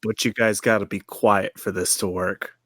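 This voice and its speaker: A man's voice: fairly low pitch, fairly even intonation, moderate pace, fairly bright tone, no echo, clean studio sound. A man chatting casually as a player in a tabletop role-playing game.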